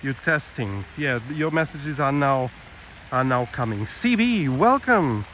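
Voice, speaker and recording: A man's voice: medium pitch, very animated, slow pace, fairly bright tone, poor audio. Portuguese man live-streaming over outdoor noise. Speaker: live-stream walking tour host. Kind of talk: casual live vlog chat. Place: outdoors, walking through a village. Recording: clear outdoor ambience and traffic noise.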